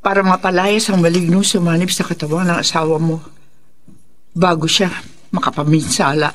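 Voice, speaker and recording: A woman's voice: on the low side, fairly even intonation, moderate pace, close to an everyday voice. A resolute faith healer, played by a woman, speaks solemnly and with authority. She is a mother battling evil spirits in a radio horror drama, recorded with audible background noise. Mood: grave, commanding.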